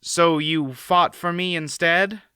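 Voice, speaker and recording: A man voicing a nervous teenage boy who falters, in a raised bright tone. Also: fairly high pitch, steady tone, slow pace, smooth, no room sound, clean studio sound, pitched above the performer's own voice. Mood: flustered. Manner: startled, faltering.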